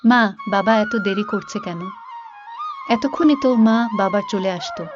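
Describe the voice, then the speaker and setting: A woman's voice: fairly high pitch, huge pitch swings, measured pace. A woman voicing a little daughter in a cartoon story. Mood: wondering, eager. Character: little sick daughter waiting for her parents. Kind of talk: cartoon voice acting. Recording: dull lo-fi track with music.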